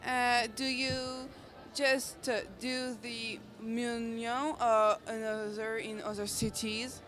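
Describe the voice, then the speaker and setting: A woman's voice: on the high side, little pitch movement, slow, a bit bright. Young woman hosting, music behind. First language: French. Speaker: radio host. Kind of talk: student radio interview. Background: background music.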